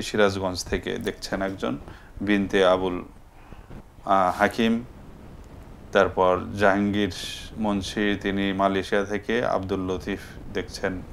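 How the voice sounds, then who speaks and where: A man with a Bangladeshi accent hosting a festive phone-in show, speaking politely with hesitant pauses. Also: on the low side, a little hiss or hum. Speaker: live TV show host.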